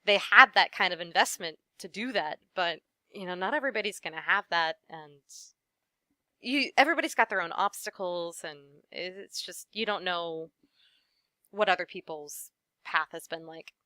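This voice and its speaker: A woman voice actress talks shop in a relaxed interview, with a bright, crisp and very clear voice. She is friendly and laughs often, and sprinkles her talk with "you know." The recording is close and dry. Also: on the low side, moderate pace, dry recording. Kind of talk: casual interview chat.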